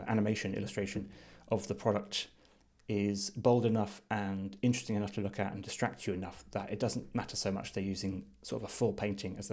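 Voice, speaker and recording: A man with a fairly low voice talks through what is on his screen as a reviewer. His delivery is unscripted and thoughtful, measured as he reasons aloud. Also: darkish tone. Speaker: design reviewer. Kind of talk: unscripted screen-share commentary.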